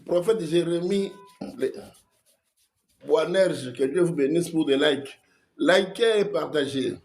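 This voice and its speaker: Man, pastor, Congolese French accent, stuffy head cold, very slow delivery, livestream preaching. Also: fairly high pitch, darkish tone. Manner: slow, greets viewers by name. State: has a cold, coughing. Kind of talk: livestream sermon and greetings.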